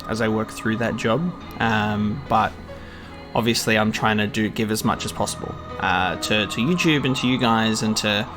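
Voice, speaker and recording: A man in his twenties with an Australian accent chats casually to his channel's subscribers in an earnest, hesitant way. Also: lowish voice. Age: 20s. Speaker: YouTube gaming channel creator. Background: music with guitar.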